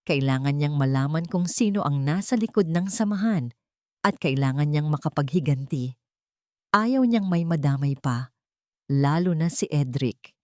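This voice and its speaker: Woman storyteller, measured narration, lowish voice, dry close sound. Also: dry recording. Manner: steady, measured reading. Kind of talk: scripted narration of a romance story.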